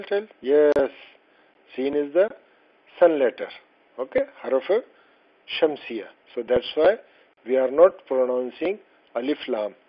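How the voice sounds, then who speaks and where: A man teaching an Arabic textbook lesson, who is not a native speaker of Arabic, explaining slowly and patiently with frequent fillers and restarts. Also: medium pitch. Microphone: voice-over over slides. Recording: dull lo-fi voice-over.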